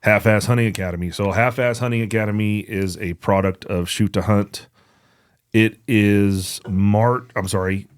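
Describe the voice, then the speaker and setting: Low-voiced man, close dry podcast sound. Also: no echo. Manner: blunt, profane, joking banter. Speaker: podcast host. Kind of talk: casual chat, announcements.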